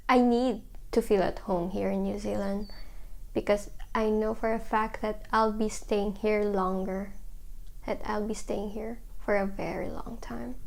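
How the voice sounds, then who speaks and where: A young woman from the Philippines speaking softly and hesitantly in a reflective documentary interview, with many fillers. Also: medium pitch, steady tone, unhurried, creaky.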